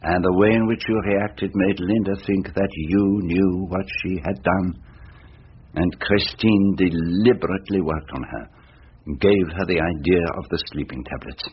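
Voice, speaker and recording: An actor playing a shrewd, fatherly, self-assured detective in a radio drama, speaking in a measured, courteous and theatrical way. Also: deep voice, fairly even intonation. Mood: explaining gravely. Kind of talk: radio drama acting, explanatory monologue. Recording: dull lo-fi radio sound, noisy.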